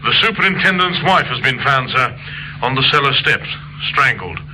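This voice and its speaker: An earnest clipped detective calling in, super piercing tense tone, old radio hiss. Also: male voice, medium pitch, animated, moderate pace, pushed and bright, poor audio. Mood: urgent. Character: earnest male police detective phoning in report. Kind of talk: old-time radio crime drama acting.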